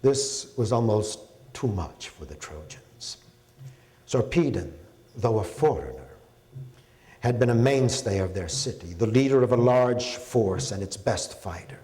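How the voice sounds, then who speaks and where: Slow, low-voiced man in echoing hall. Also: lively intonation, warm tone. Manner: measured, deliberate, solemn phrasing. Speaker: professor and translator reading. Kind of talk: dramatic poetry recital, epic narration. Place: indoor hall, public reading. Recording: echoey hall with long reverb.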